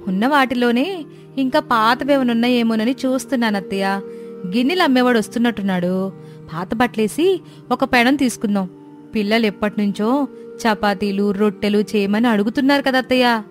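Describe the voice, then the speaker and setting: A woman's voice: on the high side, fairly quick, faint music behind, close to an everyday voice. A woman playing a dutiful, eager young village daughter-in-law in a cartoon moral story, polite and youthful. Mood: eager, obliging.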